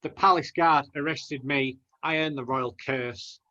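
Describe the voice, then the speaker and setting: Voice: mid-range voice, fairly even intonation, unhurried, pushed and bright, lo-fi sound. A performer playing a poor, lovesick and timid lad, the hero of a rhyming pantomime, speaking earnest, plaintive verse. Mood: self-pitying.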